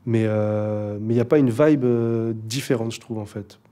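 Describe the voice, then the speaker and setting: A man's voice: fairly low pitch, steady tone, measured pace, warm tone. A young man, a rapper, chatting casually in an interview, laid-back and hesitant, with many fillers and restarts. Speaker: rapper guest.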